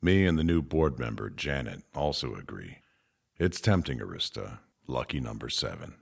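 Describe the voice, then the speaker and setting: Needling sci-fi board member, rock-bottom pitch, heavy gravel, husky edge. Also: male voice, very animated, moderate pace, exaggerated voice. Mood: sly, needling. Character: gruff, needling male board member aboard a spaceship. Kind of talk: audio drama acting.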